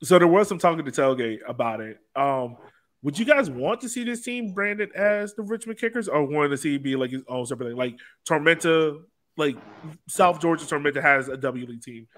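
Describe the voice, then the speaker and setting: A man's voice: on the high side, lively intonation, a little fast, fairly bright tone. An American man hosting a casual podcast, speaking off the cuff in loose, stop-and-start phrases. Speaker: podcast host.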